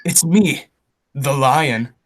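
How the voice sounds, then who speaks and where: A man's voice: mid-range voice, very slow. A man playing an eccentric, people-hating hermit who poses as a lion in a comedy radio play, on a very noisy, clicky home recording. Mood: secretive, grumpy.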